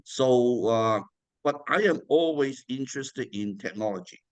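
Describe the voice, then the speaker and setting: Guy hosting an interview, smooth clear voice, dry close sound, friendly and kinda rambly. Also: mid-range voice, lively intonation, a little slow, dry recording. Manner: friendly, rambling with many "you know" fillers. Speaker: interview host. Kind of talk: unscripted interview questions.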